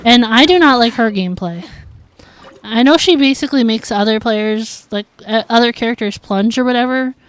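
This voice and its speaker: A woman talks casually on a gaming livestream. Her voice sits a little high, and she is chatty, peppering her sentences with filler words as she speaks to viewers. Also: normal speed, no room sound. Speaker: game streamer.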